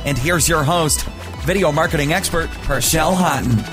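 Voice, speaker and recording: A man delivering a measured, scripted announcer intro. His voice sits a little high and slightly distant, with clear music playing under it. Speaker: show announcer.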